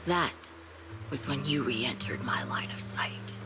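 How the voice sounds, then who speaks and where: An actress playing a haunted, vengeful ex-soldier woman in a video game, measured and brooding, coldly resigned as she speaks. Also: rumbling low, lively intonation, in a whisper, music in the background, heavily acted. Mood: bitter, subdued. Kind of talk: scripted video game story acting.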